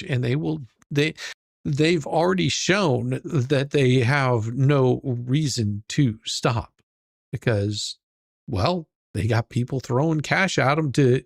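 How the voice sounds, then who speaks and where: A man's voice: mid-range voice, vocal fry, dry recording, clean studio sound. A man hosting his channel, reacting in casual commentary and rambling as he repeats words while he thinks. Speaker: channel host reacting to a stream.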